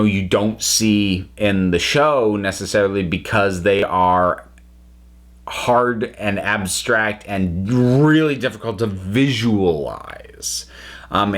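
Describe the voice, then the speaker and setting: A man giving an unscripted review to viewers, speaking emphatically and haltingly as he thinks aloud. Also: sits low, a bit bright. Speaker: reviewer and channel host.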